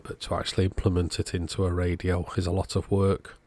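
A man's voice: low voice, monotone, brisk, darkish tone. A man narrating a repair job in a casual, matter-of-fact, understated way. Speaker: host of electronics repair channel.

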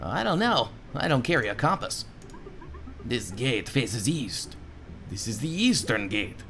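A man's voice: mid-range voice, sing-song, unhurried, gravelly, a bit bright, a little hiss or hum, exaggerated voice. A man voicing a literal-minded male gatekeeper in game dialogue, pedantic and matter-of-fact. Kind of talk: game dialogue read aloud.

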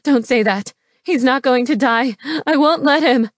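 A woman's voice: a little high, normal speed, no echo, studio quality, lightly acted. A woman voicing a desperate, headstrong young woman in an audiobook, urgent and emotional. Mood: fierce, tearful.

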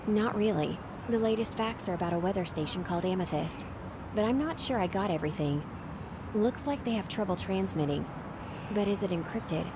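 A woman's voice: medium pitch, brisk, background noise, natural voice. A woman playing a reserved, skeptical mercenary operative in a video game, with a dry, understated delivery. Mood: cautious, informative. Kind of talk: video game cutscene voice acting.

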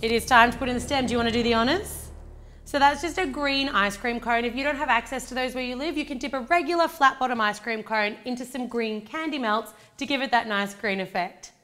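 A woman's voice: on the high side, brisk, a bit bright. Upbeat Australian woman demonstrating a recipe. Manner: chatty, upbeat step-by-step instructions. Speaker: baking channel host. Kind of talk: cooking demonstration. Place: home kitchen, indoors.

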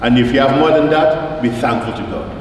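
Man preaching in a very echoey church. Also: medium pitch. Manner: emphatic, deliberate, repeats phrases for weight; questions the listeners directly. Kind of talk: sermon with scripture quotation and rhetorical questions. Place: large reverberant church, indoors. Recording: very echoey church, distant mic, faint noise.

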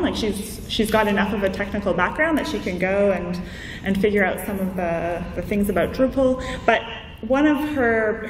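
A woman giving an informal conference presentation as a keynote speaker, explaining in a conversational way through examples. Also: medium pitch. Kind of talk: informal slide presentation to an audience. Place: large indoor conference room. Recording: echoey hall, distant mic, background noise.

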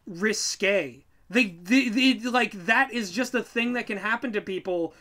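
A man's voice: high-pitched, unhurried, sharp tone. A man co-hosting a casual reaction commentary in an exasperated, sarcastic and sweary way. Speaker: co-host, writer.

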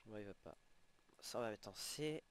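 A young man with a head cold, sniffling, casually commenting as he plays an online game and reacting excitedly to what he gets. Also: lowish voice, normal speed, clean tone. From French-speaking. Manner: chatty, exclaiming at in-game rewards. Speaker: gaming channel host.

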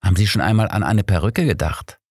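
A man's voice: low-pitched, fast talker, darkish tone, no room sound, clean studio sound, pitched above the performer's own voice. A man voicing a detective's doubting, exasperated companion in an audiobook, questioning and challenging. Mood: doubtful.

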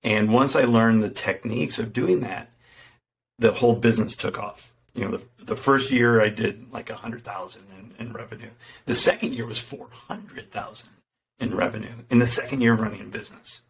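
A man's voice: mid-range voice, moderate pace, gravelly. A middle-aged man, a podcast guest, telling his business story in a casual, earnest and self-deprecating way with frequent restarts. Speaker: podcast guest, fitness entrepreneur. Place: home room with window, indoors. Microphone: studio microphone in front.

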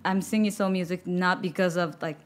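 A woman in her thirties from Japan with a Japanese accent, speaking English as a second language in an interview and often pausing to search for words. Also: on the low side, steady tone. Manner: halting, searching for words, soft-spoken. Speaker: guest singer. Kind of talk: casual interview chat. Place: studio with record-covered wall. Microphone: studio microphone on boom arm.

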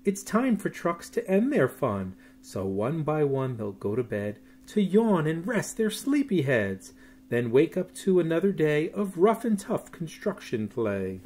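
Expressive, unhurried man reading a bedtime story. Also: a little high, slight background noise. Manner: sing-song, drawn-out words with soft hushed goodnights. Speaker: picture book reader. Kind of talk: expressive read-aloud of a rhyming children's bedtime story. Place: small room at home.

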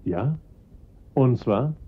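A man playing a confident, encouraging police detective in a radio crime drama, with measured delivery. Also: medium pitch, lively intonation, slightly rough, exaggerated voice. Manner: approving, measured questioning. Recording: dull lo-fi radio play recording.

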